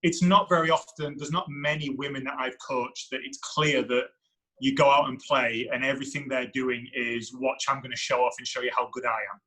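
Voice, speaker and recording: A man in his thirties, a soccer coach, with a deep voice and a non-American accent, answering interview questions in a reflective, thinking-aloud way. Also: little pitch movement, fairly quick, sharp tone, rough recording. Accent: foreign to the United States. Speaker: women's soccer head coach.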